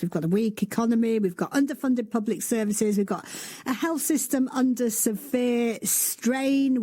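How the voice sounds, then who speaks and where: A woman's voice: medium pitch, mellow, breathy edge. A woman presenting a broadcast news interview as a radio host, speaking from a studio.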